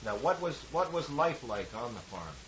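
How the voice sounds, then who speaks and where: A man's voice: mid-range voice, big pitch swings, normal speed. A man in a middle register conducts an oral history interview, patiently prompting and rephrasing his questions. A faint hiss runs under the old tape recording. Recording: hissy 1970s tape recording.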